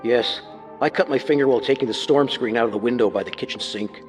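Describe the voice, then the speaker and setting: A man's voice: mid-range voice, fast, faint music behind, natural voice. An actor playing a matter-of-fact man in a true-crime audio reenactment, speaking in clipped statements. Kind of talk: dramatized reenactment.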